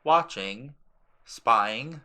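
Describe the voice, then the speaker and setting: Man acting a blustering, forceful middle-aged bully uncle. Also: medium pitch, animated, drawn-out words, heavily acted. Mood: wild muttering. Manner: snapping, sneering, croaking, roaring. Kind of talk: audiobook drama character acting.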